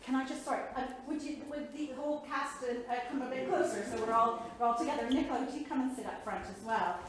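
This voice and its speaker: A woman's voice: high-pitched, fast. A woman chairing a panel event, speaking informally and effusively, often hesitating and interrupting herself. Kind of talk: informal spoken thanks and event announcements. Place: indoor event room. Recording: very echoey room with faint noise.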